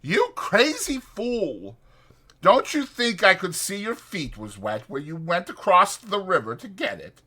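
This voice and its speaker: Guy doing a bossy scolding ranch hand from a storybook, pitched up and loud, swingy delivery. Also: big pitch swings, unhurried, breathy edge, heavily acted. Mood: exasperated, angry. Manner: brusque, rural American vernacular, scolding. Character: sharp, impatient ranch hand, bossy but caring.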